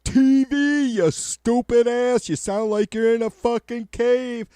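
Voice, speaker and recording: Shrill, loud heckler jeering from a livestream chat, as a gruff man puts it on, slow and stretched. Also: steady tone, no echo, clean studio sound. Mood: mocking. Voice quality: high, strained and drawn out.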